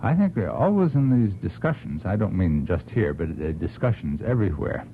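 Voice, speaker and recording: A man speaking as a panel guest on a radio talk show, earnest, with deliberate and hesitant phrasing. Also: deep voice, big pitch swings. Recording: thin, narrow old radio recording, slight noise.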